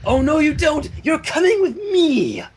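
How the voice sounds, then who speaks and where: A man's voice: extremely high pitch, very animated, a little slow, slight background noise, voice pushed up, full character voice. A man voicing an elegant, fussy cartoon unicorn mare with a trilling, lilting inflection, in a story reading. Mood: determined.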